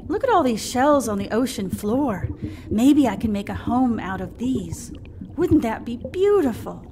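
A woman plays a dainty little girl fish in a puppet show. Her voice is very high and lively, dreamy and gushing, with clear background noise. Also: a little slow. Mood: delighted. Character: dainty female little fish who loves pretty things. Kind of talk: children's puppet show character.

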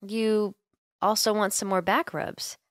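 A young woman voicing an affectionate, teasing, sleepy roommate in a gentle, intimate ASMR comfort roleplay, close to the microphone. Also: medium pitch, level tone, unhurried, a little hiss or hum, natural voice. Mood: comforting, casual. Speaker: roleplay voice actor. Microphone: close microphone, dry.

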